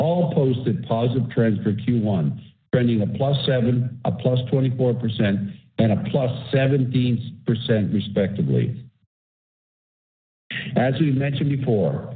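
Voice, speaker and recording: A man in the role of a company executive, formally reading prepared remarks in a scripted earnings presentation. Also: medium pitch. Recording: phone-like conference call webcast audio.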